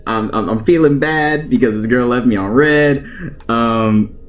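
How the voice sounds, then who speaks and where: A young man talks in a casual storytime vlog style at a medium pitch. He is conversational and slangy, readily self-deprecating. Faint guitar music plays behind his voice. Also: unhurried. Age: young adult. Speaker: vlogger.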